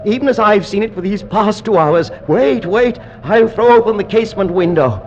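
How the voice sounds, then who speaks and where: Haunted, morbid gentleman, muffled old radio. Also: high-pitched, animated, normal speed, a little hiss or hum. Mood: feverish dread. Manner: theatrical, intense, formal diction. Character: haunted, morbid gentleman host, dreading unseen evil. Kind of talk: 1940s radio horror acting. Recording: muffled vintage 1940s radio recording. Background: dramatic orchestral score.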